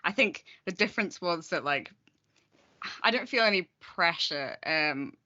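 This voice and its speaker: Young male student, jokey and upbeat; bright voice on muffled, lo-fi audio. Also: a little high, lively intonation, a little slow. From South Wales. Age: young adult. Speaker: student guest. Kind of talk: casual group chat. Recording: dull lo-fi audio.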